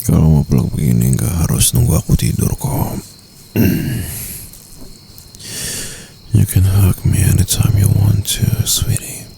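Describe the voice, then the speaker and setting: A very gravelly, low-pitched Indonesian mafia boss , played by a man, murmurs to his lover in an ASMR roleplay. He is tender but possessive, teasing and soothing. Faint noise of a night with crickets sits behind him. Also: dark tone, full character voice. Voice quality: deep, gravelly. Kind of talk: ASMR roleplay, intimate boyfriend monologue.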